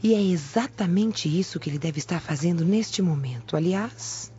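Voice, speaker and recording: An actress playing a gossiping Roman servant informer in a radio drama, confidential and insinuating. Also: female voice, lowish voice, lively intonation, normal speed, dark tone, natural voice. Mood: sly, confiding. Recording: dull lo-fi radio, faint music.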